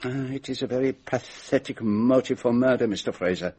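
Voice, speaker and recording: A man's voice: medium pitch, brisk, warm tone, slightly rough, close to an everyday voice. An actor playing a shrewd, courteous male detective in a radio drama, with a Belgian French accent and a formal, precise way of talking. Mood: expository, assured.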